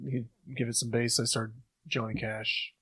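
A man's voice: medium pitch, flat delivery, normal speed, fairly bright tone, slightly rough, no echo. A man co-hosting a podcast in casual, joking banter, giving his opinions in a playful way. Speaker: podcast co-host. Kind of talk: casual banter and review chat.

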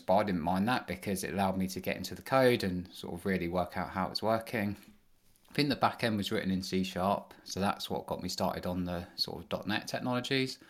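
A man, a podcast guest, answering casually and loosely with many fillers. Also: low-pitched. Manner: relaxed, rambling with many fillers. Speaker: podcast guest, engineering manager.

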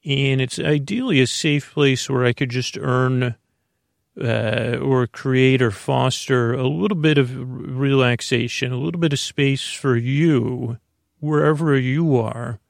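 A male podcast host with a very creaky voice, recorded close and dry. He rambles in a bedtime-intro style, halting, restarting and drifting into tangents. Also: mid-range voice, steady tone, dry recording. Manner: meandering, halting, stammering, tangential.

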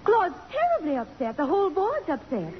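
A muffled old radio broadcast of a fluttery, middle-aged matron. Her high voice swoops about as she gushes in exclamations, good-hearted and effusive. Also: huge pitch swings, moderate pace, slight background noise, heavily acted. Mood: flustered, gushing. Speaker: radio drama actress. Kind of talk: 1950s radio drama acting.

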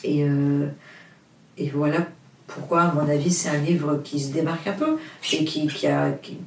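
A woman's voice: sits low, steady tone, moderate pace, a little mellow, slight background noise. Woman, roomy distant sound. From Portugal. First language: Portuguese. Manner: hesitant, many fillers and restarts, earnest. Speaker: author, interview guest. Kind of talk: casual interview chat.

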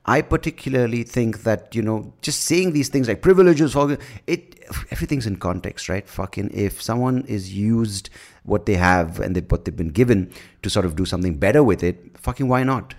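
A man's voice: lowish voice, normal speed. A man hosting his own podcast, talking alone in a blunt, profane, sarcastic way, rambling through asides and jokes. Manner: breaks into mocking shouts and laughs at his own jokes. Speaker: podcast host. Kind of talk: casual solo podcast monologue.

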